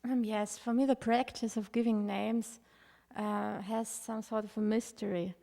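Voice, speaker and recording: Gravelly-voiced woman, hesitant. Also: mid-range voice, steady tone, unhurried, warm tone. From Germany. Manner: hesitant, many fillers, wry. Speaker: panel author. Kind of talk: panel discussion. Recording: reverberant hall.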